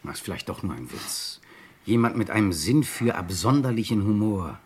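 An actor playing a brisk, dry-humored police detective and protective brother in a crime radio play. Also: male voice, on the low side, sing-song, husky. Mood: serious.

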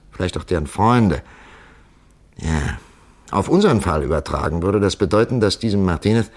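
Methodical detective chief, 1950s radio drama: gravelly, animated intonation, deliberate, superior, thinking out loud. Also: male voice, on the low side, full character voice. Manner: deliberate, musing, lecturing, thinking aloud. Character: shrewd, methodical male police commissioner, patiently superior. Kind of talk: 1950s German radio crime drama acting.

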